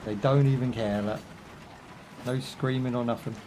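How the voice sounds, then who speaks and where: A young British man talks his way through a live game stream, drifting between jokes and side stories with plenty of ums and you-knows. His voice sits at an ordinary middle pitch. A faint hiss of background noise runs under the desk mic. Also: fairly even intonation, moderate pace. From United Kingdom. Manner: chatty, rambling asides with jokes and fillers. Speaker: gaming streamer. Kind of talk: casual live gameplay commentary.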